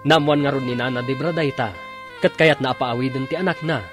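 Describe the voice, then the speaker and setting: A man's voice: mid-range voice, steady tone, brisk, over music. A man narrating and announcing a radio drama from a script.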